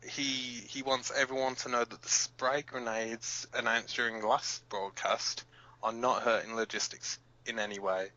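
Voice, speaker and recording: Guy acting as gruff sweary rebel radio host, lowish voice but sharp, halting, dull lo-fi sound. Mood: businesslike. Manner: blunt, profane, halting. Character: gruff, foul-mouthed battle-scarred male resistance fighter co-hosting pirate radio. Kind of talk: audio drama as underground radio broadcast.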